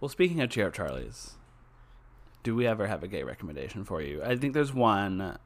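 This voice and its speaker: A man co-hosting a podcast, chatting in a campy, enthusiastic way with quick asides. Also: fairly low pitch, very animated. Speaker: podcast co-host. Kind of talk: casual chat.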